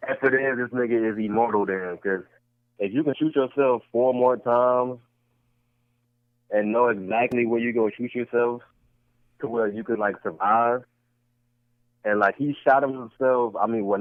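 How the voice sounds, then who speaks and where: A man's voice: medium pitch, moderate pace, dry recording. A man co-hosting a casual podcast who argues his point and keeps repeating himself. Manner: repetitive, argumentative, restarts points. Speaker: podcast co-host. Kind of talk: casual debate chat. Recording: tinny lo-fi podcast audio.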